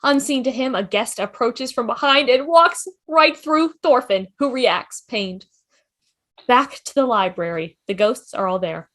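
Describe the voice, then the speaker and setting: A woman's voice: on the high side, animated, a little slow, a bit bright. A woman reading scripted stage directions as narrator of a table read.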